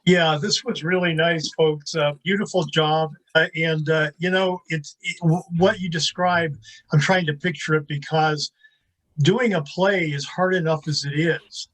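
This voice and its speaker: A man in the audience of an online call, giving casual feedback in a halting way, repeating words. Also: on the high side, fairly even intonation, a bit bright, rough recording.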